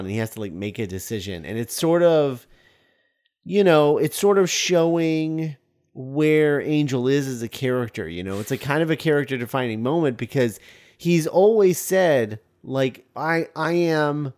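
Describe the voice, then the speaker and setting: A man's voice: a little high, darkish tone. A man co-hosting a podcast, casually discussing a film in a rambling way and repeating phrases for emphasis. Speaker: podcast co-host.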